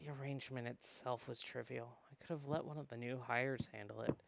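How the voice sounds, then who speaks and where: A man's voice: mid-range voice, fairly even intonation, fairly quick, vocal fry. A man reading visual novel narration aloud in a dramatic storytelling style.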